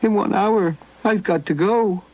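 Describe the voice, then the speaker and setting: A man voicing a sweet, excitable young female toy puppet in a children's puppet story, easily upset. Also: sits high, unhurried, very noisy, voice pushed up. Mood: tearful. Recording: muffled old 1980s VHS tape, loud music.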